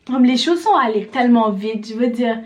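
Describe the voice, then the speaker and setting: A woman's voice: on the high side, animated, normal speed, a little mellow. A young woman from Senegal, chatting casually to camera as she does her makeup at home, with loose talk full of fillers. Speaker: vlogger. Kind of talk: casual chat while doing makeup.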